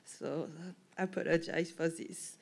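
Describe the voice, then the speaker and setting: French-accented woman giving a halting talk. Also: deep voice, fairly even intonation, gravelly, darkish tone, a little hiss or hum. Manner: halting, frequent restarts and self-corrections. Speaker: professor and panel speaker. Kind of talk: academic conference talk.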